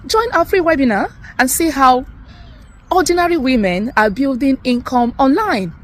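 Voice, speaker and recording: Nigerian mom pitching a webinar, encouraging, bouncy high voice. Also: female voice, expressive, normal speed. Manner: encouraging, persuasive. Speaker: mother of four, healthcare worker. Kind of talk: promotional testimonial.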